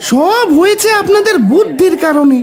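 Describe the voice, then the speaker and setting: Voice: very high voice, expressive, normal speed, a little mellow, some chatter behind, voice pushed up. A performer voicing an effusive, respectful villager in a cartoon. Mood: delighted, grateful. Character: squeaky villager welcoming the headman respectfully. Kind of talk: cartoon character dialogue.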